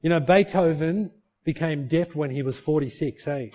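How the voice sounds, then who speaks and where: Middle-aged male pastor, warm mellow tone, slightly higher voice, earnest sermon delivery with rhetorical questions. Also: normal speed. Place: church.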